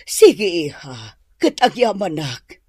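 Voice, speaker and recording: Slow, husky, worried mother played by a woman. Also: on the low side, big pitch swings, mellow, no echo, full character voice. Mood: weary. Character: worried mother fretting over her runaway daughter.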